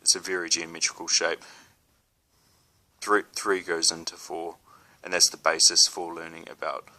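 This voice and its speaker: A man's voice: sits low, little pitch movement, normal speed, pushed and bright. A young man with a New Zealand accent talks casually to camera, hesitant, with many ums and restarts. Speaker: spiritual vlogger.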